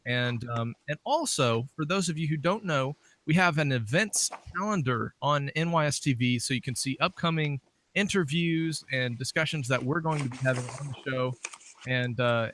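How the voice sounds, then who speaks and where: A man hosts a show in a casual, conversational way. His voice is bright and forward, at an ordinary male pitch. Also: moderate pace, slight background noise. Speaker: show host. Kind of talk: casual hosting with announcements.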